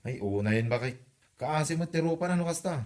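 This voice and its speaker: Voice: mid-range voice, expressive, brisk, darkish tone, close to an everyday voice. A performer acting a mild, questioning spouse in a comedy drama.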